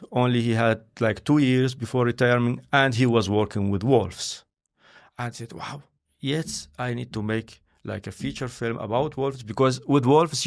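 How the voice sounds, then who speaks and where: Gravelly man, halting and searching. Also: mid-range voice. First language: not English. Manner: halting, searching for words with many fillers. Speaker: interview guest, scientist and filmmaker. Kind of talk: conversational interview.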